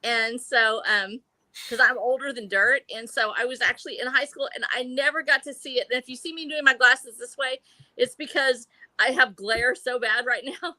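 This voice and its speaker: A woman's voice: fairly high pitch, fairly even intonation, moderate pace, sharp tone, dry recording, rough recording. A woman in her early sixties chatting casually and effusively as a guest on a panel show. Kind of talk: casual chat.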